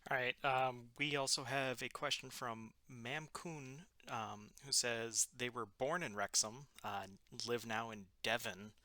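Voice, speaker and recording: Host guy reading a question out, dry close sound, brightish voice. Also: medium pitch, fairly even intonation, measured pace, hardly any pauses, dry recording.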